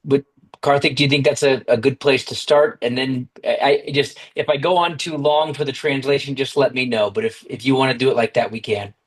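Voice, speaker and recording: An American man talks steadily and earnestly over a video call, sharing at a recovery meeting. His voice sits at an ordinary pitch and his pace is even. He restarts a phrase now and then. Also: brisk. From United States. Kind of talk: personal recovery talk.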